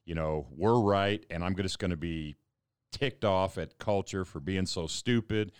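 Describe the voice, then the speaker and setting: Very deep smooth-voiced man pastor. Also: measured pace, no room sound, studio quality. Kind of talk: casual panel discussion.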